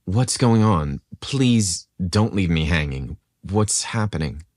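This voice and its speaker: A man's voice: low voice, expressive, slow, a little mellow, no echo. Guy doing a sweet emotional man in love, romance audio story, dry recording. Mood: anxious. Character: tender, anxious, excitable man in love.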